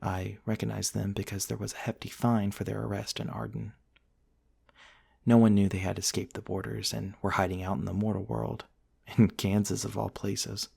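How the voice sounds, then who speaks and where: A man playing a gentle, eccentric vampire prince from the nineteenth century, softly telling his story in an intimate, genteel ASMR roleplay monologue. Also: on the low side, little pitch movement, vocal fry, breathy edge, heavily acted. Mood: calm storytelling. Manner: soft-spoken, wistful, genteel storytelling with pauses.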